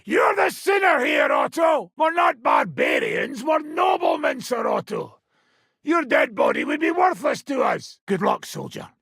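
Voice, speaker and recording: An actor playing a brash, foul-mouthed medieval mercenary commander in a video game, with a rough, raspy voice and a coarse, sardonic swagger. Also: male voice, extremely high pitch, wildly swooping pitch, a little slow, fairly bright tone, dry recording, shouting, clean studio sound, heavily acted. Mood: angry shouting. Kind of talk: video game character acting.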